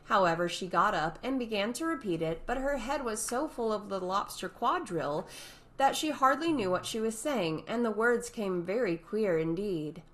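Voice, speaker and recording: A woman's voice: mid-range voice, animated, moderate pace, fairly bright tone. A woman reading a children's classic as scripted storybook narration.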